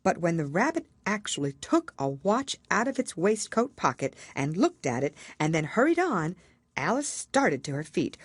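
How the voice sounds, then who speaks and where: A woman narrating a children's storybook as an audiobook reader, in an expressive style. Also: fairly low pitch, breathy edge, no echo.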